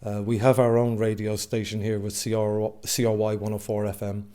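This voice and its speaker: An Irish man gives a casual interview in a low, mellow voice. His delivery is reflective and slightly hesitant. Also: monotone, normal speed, smooth. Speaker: amateur actor, interviewee.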